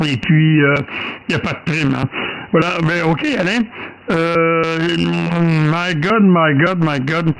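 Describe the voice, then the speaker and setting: A man's voice: a little high, fairly even intonation, slow pace, gravelly, fairly bright tone, clean tone, poor audio. An older man with a Quebec French accent chatting casually as an amateur radio operator, often repeating himself. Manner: repeats words, English exclamations. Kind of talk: casual two-way radio chat.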